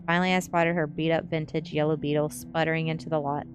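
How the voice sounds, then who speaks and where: A woman's voice: low voice, little pitch movement, quick pace, music in the background. A woman reading a novel aloud as ASMR storybook narration.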